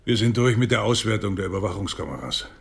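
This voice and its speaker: An actor playing a dry, plain-spoken policeman in his sixties with a gravelly voice, in a radio crime drama. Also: fairly low pitch, animated, fast, distant mic. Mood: businesslike. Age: 60s. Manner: dry, businesslike. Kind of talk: naturalistic German radio crime drama acting.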